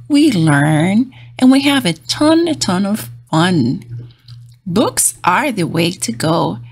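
Slow, sing-song woman reading to children. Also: mid-range voice, slightly rough. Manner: gentle, sing-song, encouraging. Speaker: children's book read-aloud host. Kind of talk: expressive storybook read-aloud for young children.

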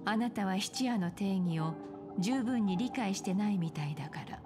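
A woman's voice: mid-range voice, animated, normal speed, close to an everyday voice. A woman playing an elegant, calculating pawnshop keeper in a video game, speaking politely with cool, teasing composure. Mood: cool, dismissive. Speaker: game voice actor. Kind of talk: Japanese game story dialogue. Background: game background music.

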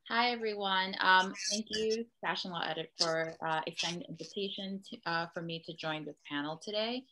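A woman's voice: lowish voice, brisk, bright, forceful tone, a little hiss or hum, rough recording. A young woman lawyer from New York City introducing herself carefully on a video call panel.

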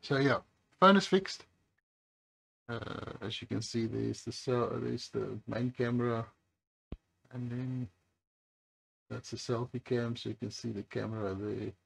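Slow, gravelly man at a workbench. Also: a little hiss or hum, low-quality recording. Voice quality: low voice. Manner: slow, relaxed, with many hesitations. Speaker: phone repair channel host. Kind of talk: casual talk to camera while working. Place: workbench by a highway.

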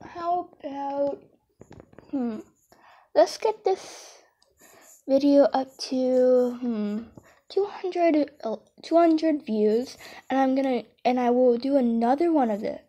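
A woman's voice: high-pitched, dark tone. A young woman rambling casually and hesitantly as an amateur video creator, often correcting herself. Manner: hesitant, halting, self-correcting. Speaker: amateur comic dub creator.

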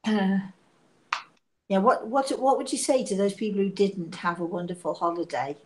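A woman in her sixties, hoarse and coughing from illness, chatting and laughing on a live stream from her living room, talking into a tablet camera. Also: medium pitch, moderate pace, darkish tone. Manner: chatty, laughing, self-mocking. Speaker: psychic medium hosting a live show. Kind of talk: casual live-stream chat. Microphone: device camera at arm's length.

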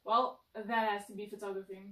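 A woman's voice: medium pitch, flat delivery, fairly quick, pushed and bright. A young woman in her late teens with a South African accent, answering questions in a playful, emphatic way. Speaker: co-presenter being interviewed. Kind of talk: casual interview chat. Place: indoor lounge set, seated on couch. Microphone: far camera mic. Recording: roomy echo, distant mic.

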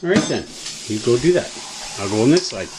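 A man's voice: medium pitch, big pitch swings, a little fast, very noisy. A man filming off camera, teasing and playful in his banter. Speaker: camera operator. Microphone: off-camera, behind the camera.

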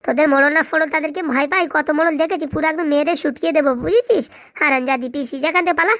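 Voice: high-pitched, racing, a bit bright, dry recording. A performer voicing bossy, hot-tempered cartoon villagers who scold and quarrel in colloquial speech. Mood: defiant. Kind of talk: comic cartoon dialogue.

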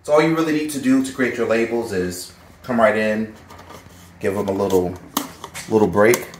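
A middle-aged man hosting a gardening channel, giving a relaxed, chatty how-to demonstration with jokey asides in a home kitchen. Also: lowish voice, noisy background.

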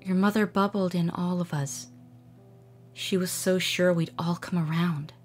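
A woman voicing a melancholy, guarded young female alien water gem in a cartoon dub, wistful and halting. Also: on the low side, fairly even intonation, soft background music, close to an everyday voice. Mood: regretful. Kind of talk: dramatic cartoon comic dub.

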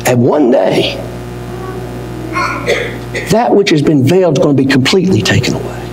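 Older man preaching a sermon from the pulpit, high and animated, with room echo behind him. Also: noisy background. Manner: impassioned, rising to near-shouts, dropping low and slow. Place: church auditorium. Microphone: pulpit mic, wide far camera. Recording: echoey hall with long reverb.

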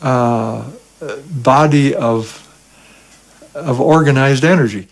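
Slow-talking man explaining. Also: medium pitch, vocal fry. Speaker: scientist interviewee. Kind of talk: spontaneous explanation in interview.

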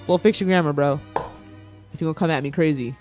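A man's voice: fairly high pitch, fast, darkish tone. A young man livestreaming a casual reaction, bantering playfully with chat in slangy, informal speech. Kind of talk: casual reaction stream commentary. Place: indoor room at a streaming setup. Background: faint background music.

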